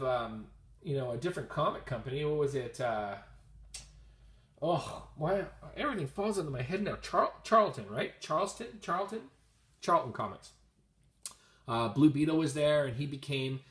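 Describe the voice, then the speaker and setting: Higher-voiced man vlogging in an echoey room. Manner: chatty, thinks aloud and addresses viewers directly. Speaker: host of a comics video channel. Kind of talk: casual rambling vlog commentary.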